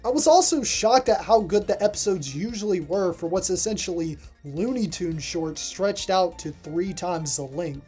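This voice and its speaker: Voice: mid-range voice, animated, normal speed, few pauses, dark tone, breathy edge, soft background music. A reviewer narrating a scripted video review in a voice-over.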